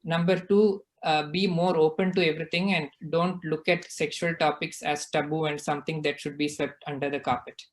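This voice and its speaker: A man's voice: a little high, flat delivery, moderate pace, hardly any pauses. Earnest father explaining. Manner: earnest, explanatory, often checks in with "right?". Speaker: guest speaker. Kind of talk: casual discussion on a video call.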